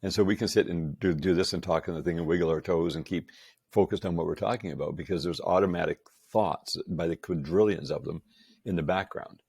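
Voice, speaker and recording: An upbeat man in his sixties holds forth as a podcast guest, his low voice rough with gravel and a husky grain. He hammers his points with repeated emphasis. The sound is close and dry. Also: brisk, a little mellow, dry recording. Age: 60s. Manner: enthusiastic, emphatic, laughs often. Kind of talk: casual chat.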